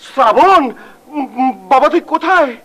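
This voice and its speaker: Shrill, stammering, doting father. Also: normal speed, background noise. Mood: flustered. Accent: Bangladeshi. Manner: stammering, repeating words in agitation. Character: flustered, doting, excitable father.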